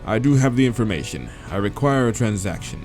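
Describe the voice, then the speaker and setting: A man's voice: lowish voice, expressive, darkish tone, faint music behind, close to an everyday voice. A man voicing an imposing, demonic owl man in a visual novel, pompous and formal in his speech. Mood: businesslike, imperious. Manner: pompous, formal, measured wording.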